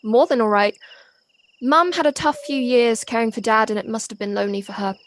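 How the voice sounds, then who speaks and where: A woman's voice: a little high, fairly quick, close to an everyday voice. A woman acting in an audio play as a young local daughter, loyal, polite and matter-of-fact, friendly but a little guarded. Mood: guarded, reassuring. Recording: faint noise, home personal-device recording.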